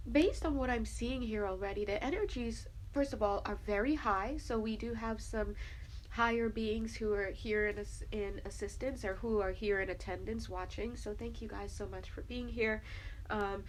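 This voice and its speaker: A woman's voice: medium pitch, a little hiss or hum, poor audio. Stuffy-nosed woman giving a casual tarot reading. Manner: chatty, with many fillers and soft laughs. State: stuffy, sniffly nose. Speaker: tarot reader.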